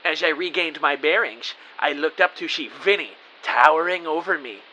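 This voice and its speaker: A man playing an earnest, excitable rookie spy who narrates and acts out his own adventure in an audio drama with eager melodrama. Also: on the high side, normal speed, lightly acted, low-quality recording. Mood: dramatic, anxious. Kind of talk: first-person audio drama narration with dialogue. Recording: faint background hiss.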